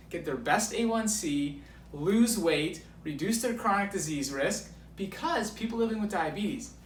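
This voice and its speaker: A man's voice: fairly high pitch, distant mic. A man in his thirties explaining things as a guest coach in an enthusiastic, emphatic way, driving key points home. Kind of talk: enthusiastic explaining in a filmed conversation. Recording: roomy echo, off mic, background noise.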